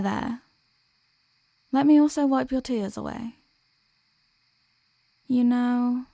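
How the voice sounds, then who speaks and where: A woman's voice: mid-range voice, a little mellow, no room sound, natural voice. A woman playing a teasing sleep paralysis demon who can be menacing or apologetic and tender, in an intimate ASMR audio roleplay. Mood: gentle, soothing. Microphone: close microphone, dry.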